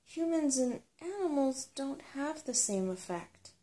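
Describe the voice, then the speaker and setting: A woman's voice: high-pitched, animated, a little slow, dark tone, pitched above the performer's own voice. A woman voicing a timid, nervous male mountain dwarf in a storybook. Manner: hesitant, nervous. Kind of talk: storybook character reading.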